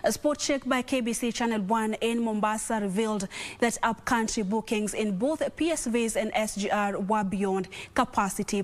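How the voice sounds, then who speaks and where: A young woman with a Kenyan accent reading scripted news as a studio anchor. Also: medium pitch. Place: television studio.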